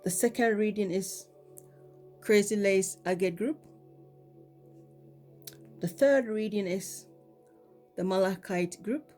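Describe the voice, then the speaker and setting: Woman, has a cold, slow and relaxed, tarot reading, lots of ums, faint music behind. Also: medium pitch. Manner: relaxed, many ums and repeated "okay?". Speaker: tarot reader. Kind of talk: casual pick-a-card tarot reading.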